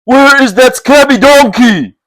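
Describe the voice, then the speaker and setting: A brash bandit chief, put on by a man, shouts commands in a raised voice. He sounds high and forceful. The recording is dry and close. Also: measured pace, no echo. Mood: commanding.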